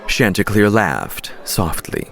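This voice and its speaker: A man's voice: lowish voice, very animated, slow, creaky, a bit bright, soft background music. A man reading scripted third-person narration for a fantasy audiobook.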